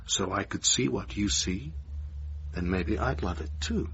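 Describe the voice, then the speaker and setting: A man's voice: on the low side, animated, a little slow, vocal fry, a bit bright, full character voice. A man acting a needling, sceptical theatre manager and lover in a naturalistic radio drama, with a dry, probing manner. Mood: goading. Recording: dull lo-fi radio recording, faint noise.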